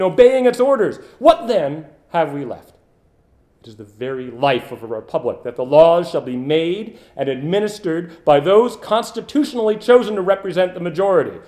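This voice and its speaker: High-voiced man in dramatic, swooping political oratory. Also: darkish tone. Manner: impassioned, declamatory, with dramatic pauses and emphatic swells. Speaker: orator re-creating an 1890s political speech. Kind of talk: theatrical old-fashioned political stump oratory, read from a text.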